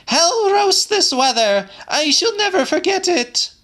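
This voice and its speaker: A man's voice: extremely high pitch, big pitch swings, measured pace, fairly bright tone, pitched above the performer's own voice, full character voice. A man voicing a querulous, exclamatory old man in an audiobook. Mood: exasperated. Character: cantankerous, exclamatory old man, bedridden listener.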